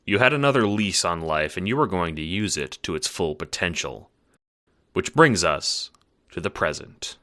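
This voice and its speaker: A man reading second-person narration in an audio drama in an even, understated way. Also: sits low, moderate pace. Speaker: narrator and producer.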